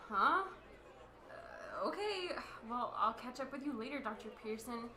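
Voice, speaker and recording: A woman playing an eager, defensive field reporter in a radio play, speaking haltingly. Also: fairly high pitch, animated, pushed and bright. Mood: indignant, sarcastic. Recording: crowd noise around the voice.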